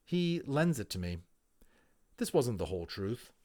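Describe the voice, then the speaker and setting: Male amateur audiobook reader, mid-pitched and highly animated, theatrical delivery with deliberate pauses. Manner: theatrical, emphatic, with deliberate pauses and quieter explanatory asides. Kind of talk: dramatic reading of a children's novel.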